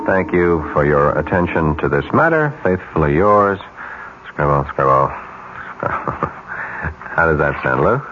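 Deep-voiced, slick scheming executive. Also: male voice, lively intonation, unhurried, long pauses, over music. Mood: gleeful. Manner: slick, self-assured, persuasive. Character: scheming, smooth-talking male ad executive, gleefully plotting. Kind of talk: 1970s radio drama acting. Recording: muffled 1970s radio broadcast, faint hiss.

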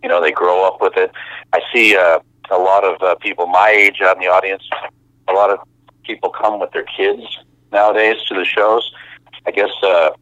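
A very deep-voiced man in his fifties, talking as an interview guest over a muffled phone line. He speaks in a relaxed, halting way, full of fillers. The sound is dry and dull. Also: no room sound. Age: 50s. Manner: relaxed, halting with many fillers, light laughs. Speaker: musician guest.

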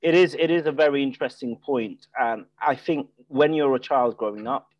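A man's voice: medium pitch, moderate pace, no room sound, dull audio. A man guest in a casual property podcast interview, talking with frequent fillers and restarts. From Nigeria, Ireland and the UK. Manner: hesitant, many restarts and fillers.

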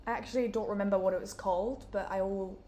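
A woman's voice: mid-range voice, fairly even intonation, quick pace, dark tone. A young woman from Newcastle with a Geordie accent, chatting casually as an interview guest in a room, with lots of "like" and "um". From Newcastle, England. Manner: chatty, many fillers like "like" and "um". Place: indoor room, in person.

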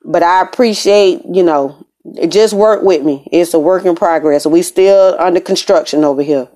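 An American woman with a fairly low voice talks as a podcast host. She delivers a casual motivational monologue in an emphatic, conversational manner, circling back on phrases to drive them home. She is recording in a room at home. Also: normal speed, mellow. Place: room in an apartment.

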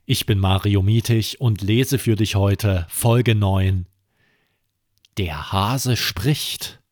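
Slow, low-voiced man reading bedtime stories. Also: darkish tone, no room sound, studio quality. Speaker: podcast host and storyteller. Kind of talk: scripted bedtime story narration for children.

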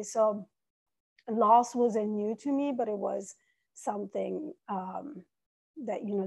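A woman in her forties, raised in Iran and Italy, sharing her personal story as a podcast guest in an earnest, reflective, soft-spoken way. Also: medium pitch, gravelly, poor audio.

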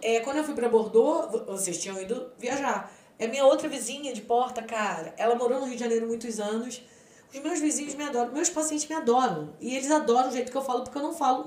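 A woman's voice: a little high, a little mellow, echoey room. A Brazilian woman in her forties, a podcast guest chatting casually and acting out the dialogue in her stories. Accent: Brazilian Portuguese. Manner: chatty storyteller, acts out quoted dialogue. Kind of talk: casual chat.

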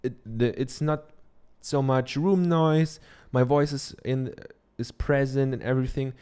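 A man's voice: mid-range voice, steady tone, measured pace, dark tone, clear, resonant tone. A man from Germany with a German accent, giving a casual how-to talk from his office into a dynamic studio microphone, chatty and loose, with many ums and tag questions. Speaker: podcaster. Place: treated home office.

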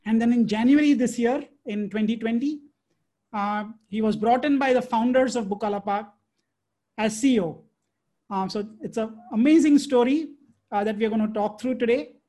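A man's voice: very high-pitched, normal speed, warm tone. A man hosting a podcast, introducing a guest and asking questions in a friendly, filler-laden way. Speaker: podcast host.

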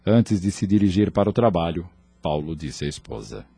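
A man narrating a scripted radio drama in a measured, formal manner. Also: low voice, lively intonation, creaky, dark tone, slightly rough, no echo.

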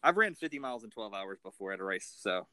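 A man's voice: lowish voice, sing-song, quick pace, bright, forceful tone, no room sound. A man hosting a casual interview, informal and a little self-deprecating in the way he talks. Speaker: interview host.

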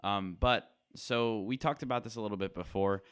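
Low, smooth, dry teen voice. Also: male voice, fairly bright tone, no room sound. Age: teens. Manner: measured, explanatory, with hedging fillers. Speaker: podcast co-host. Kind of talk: casual explanation.

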